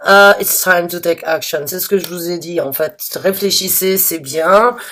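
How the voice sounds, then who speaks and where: A slightly hoarse woman giving a spontaneous tarot reading to her viewers, halting and restarting often, with earnest, reassuring advice. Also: fairly low pitch, fairly bright tone. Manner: hesitant, halting with many restarts and fillers. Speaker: tarot reader.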